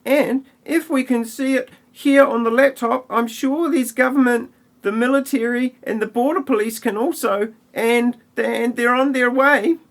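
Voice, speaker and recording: A man's voice: very high voice, fairly even intonation, unhurried, creaky, a bit bright, pitched above the performer's own voice, heavily acted. A man voicing a frail, sick old professor, fussy and breathless, in a parody audio play. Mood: agitated.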